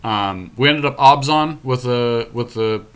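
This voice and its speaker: A man's voice: mid-range voice, a little slow, a bit bright, clear, resonant tone. A man co-hosting a podcast, giving casual, joking and sometimes sweary commentary as he plays a card game. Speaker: podcast co-host playing an online card game.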